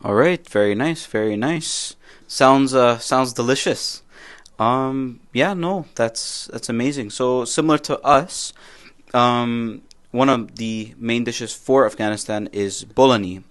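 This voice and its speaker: A young man from Canada co-hosting a casual podcast, friendly and prone to repeating himself and restarting his sentences. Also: medium pitch. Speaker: podcast co-host.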